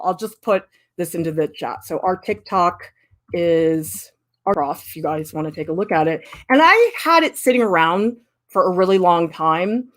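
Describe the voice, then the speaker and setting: A middle-aged woman art professor giving a candid, lively livestream critique and answering viewers' questions. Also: medium pitch. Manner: candid, lively, emphatic, with frequent "I mean".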